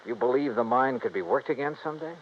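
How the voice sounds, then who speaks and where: An actor playing a wry, polite American insurance investigator in a 1950s radio detective drama. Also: male voice, mid-range voice, fast talker, close to an everyday voice. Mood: polite, easygoing. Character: wry, gentlemanly freelance insurance investigator hero. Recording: muffled, hissy vintage radio recording.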